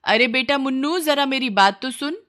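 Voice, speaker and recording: A woman's voice: sits high, normal speed, a bit bright, pitched above the performer's own voice. A woman voicing a greedy, sly village wife and mother in a cartoon story, with exclamatory, pleading speech. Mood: coaxing.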